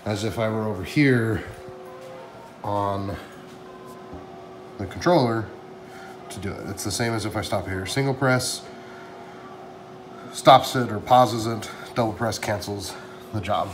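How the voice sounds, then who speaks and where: A man in his thirties walking through his print shop, chatting excitedly as he explains a new machine to his viewers. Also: fairly low pitch, unhurried, long pauses, a little hiss or hum. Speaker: print shop owner and vlogger. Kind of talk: casual walkthrough vlog. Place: large echoey print shop room with concrete floor. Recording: echoey room with music over it. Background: background music.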